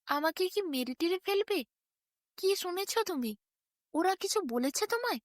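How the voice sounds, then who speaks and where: A woman's voice: very high voice, lively intonation, measured pace, no room sound, clean studio sound, pitched above the performer's own voice. A woman voicing a frightened, gullible male ox in a bedtime story, pleading with anxious questions.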